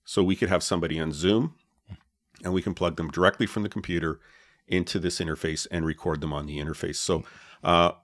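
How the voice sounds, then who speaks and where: A man with a low voice, co-hosting a podcast and explaining his gear in a relaxed way, with many fillers, on a dynamic mic with a pop filter. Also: a little fast, no room sound, studio quality. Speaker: podcast co-host. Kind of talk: casual chat about podcast gear. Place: home podcast setup. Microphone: dynamic podcast mic with pop filter and foam cover.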